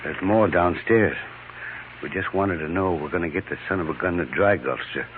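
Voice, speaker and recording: An actor playing a rough, earnest miner in a 1950s radio western, blunt and folksy. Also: male voice, sits low, normal speed, natural voice. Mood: grim, earnest. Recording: muffled, noisy old radio broadcast.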